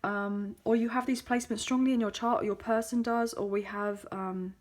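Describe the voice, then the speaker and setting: A woman with a slightly croaky, hoarse voice, giving a chatty tarot reading into a phone, with frequent fillers. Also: on the high side, steady tone, moderate pace. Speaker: tarot card reader.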